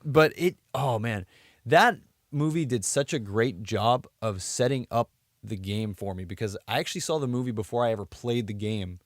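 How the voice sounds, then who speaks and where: Man, podcast co-host, conversational, middle pitch, dry recording, casual chat. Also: normal speed, no echo. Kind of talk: casual chat about video game films.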